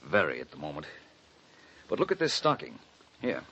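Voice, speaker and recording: An actor playing a suave, dryly witty gentleman detective in a 1940s radio drama, measured and deductive. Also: extremely low pitch, huge pitch swings, dull audio, slight background noise, full character voice. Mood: deducing.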